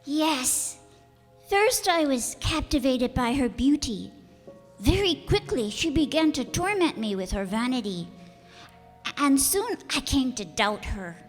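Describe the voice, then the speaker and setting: Woman as a high, lilting little boy prince. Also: very animated, unhurried, exaggerated voice. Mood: wistful. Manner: earnest, wistful. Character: earnest, innocent, wistful little boy prince. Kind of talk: theatrical radio play acting. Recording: echoey hall with music bed.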